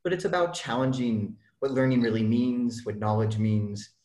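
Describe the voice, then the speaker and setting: A man who directs an education organization, speaking in a thoughtful, measured way and often restarting phrases. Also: medium pitch, a little mellow. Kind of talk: reflective discussion. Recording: echoey room.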